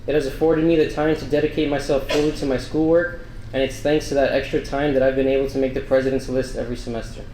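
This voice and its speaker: A man's voice: mid-range voice, fast, very noisy. A young man from Miami, Florida giving an earnest, grateful prepared speech as a scholarship student at a breakfast event. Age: young adult. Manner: earnest, grateful, steady delivery. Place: large banquet room. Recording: echoey hall, faint ambience.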